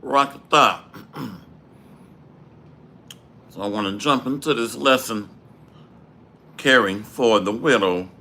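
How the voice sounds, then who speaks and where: A man leads a livestreamed Bible lesson in a slow, deliberate cadence. His throat is dry and he clears it often. His voice stays bright and forceful. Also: mid-range voice. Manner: slow, measured preaching with Hebrew phrases. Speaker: Bible teacher. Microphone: livestream microphone.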